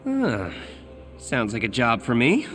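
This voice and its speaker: Boyish, animated young superhero. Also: male voice, mid-range voice, takes it slowly, faint music behind, full character voice. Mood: awkward. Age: young adult. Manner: boyish, nervous, hesitant. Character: anxious, earnest young superhero with a double life. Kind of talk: video game cutscene acting. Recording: clear background noise and ambience.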